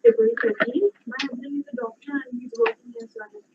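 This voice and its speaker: High, creaky woman from far off. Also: sing-song, fast, slight background noise. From Bangladesh. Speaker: doctor, course student. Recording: distant, muffled classroom stream.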